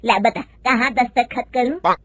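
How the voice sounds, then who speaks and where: A domineering old mother-in-law from an animated story, as a woman puts her on. She talks slowly and loudly, her voice pitched up and husky. Every line lands as an order. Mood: bossy. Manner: scolding, slow and loud.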